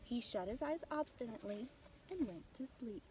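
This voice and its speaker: A woman reading a children's bedtime story as an expressive narrator. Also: on the high side, moderate pace, slight background noise, poor audio.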